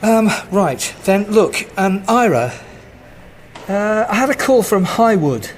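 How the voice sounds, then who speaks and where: An actor playing an anxious, bewildered husband in an audio drama, speaking in a hesitant, urgent, flustered way. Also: male voice, sits high, very animated, slow pace, a little hiss or hum, full character voice. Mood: worried, hesitant. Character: anxious, bewildered husband under growing strain.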